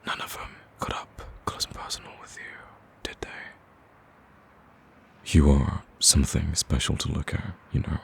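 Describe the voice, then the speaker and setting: A man playing a smitten, cocky villain in a romantic ASMR roleplay, with a husky, very deep voice and a smooth-talking, teasing, pleading manner. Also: fairly even intonation, normal speed, heavily acted. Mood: flirtatious. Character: smitten male villain, cocky, persistent, wooing.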